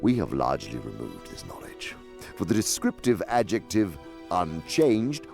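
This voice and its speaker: A man's voice: very deep voice, creaky, exaggerated voice. A man playing a suave, pompous senior demon mentor in a radio drama, lecturing theatrically and with relish.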